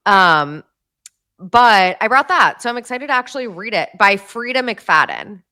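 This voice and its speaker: A woman's voice: medium pitch, expressive, a little slow, sharp tone. A woman chatting casually as a podcast guest from her home, bubbly and full of fillers. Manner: bubbly, filler-heavy with lots of likes. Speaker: podcast guest and co-host of another show. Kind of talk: casual chat. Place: at home with family in the room.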